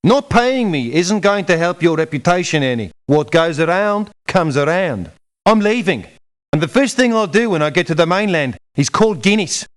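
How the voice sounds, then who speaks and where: An actor voicing a proud, sardonic doctor turned mercenary in a video game, haughty and scolding. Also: male voice, sits high, sing-song, normal speed. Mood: indignant. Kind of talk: video game character lines.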